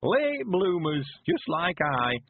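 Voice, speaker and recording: A man voicing a cheerful, frail 91-year-old man, quavering and slow, rustic and stubborn. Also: sits high, very animated, noisy background, voice pushed up, exaggerated voice. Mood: eager. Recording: phone-like lo-fi sound.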